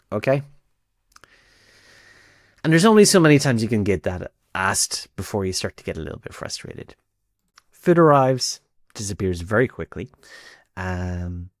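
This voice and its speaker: Low-voiced Northern Irish man telling stories. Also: no room sound, studio quality. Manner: conversational, acts out quoted dialogue. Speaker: host telling a personal dating story. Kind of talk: casual anecdotal storytelling.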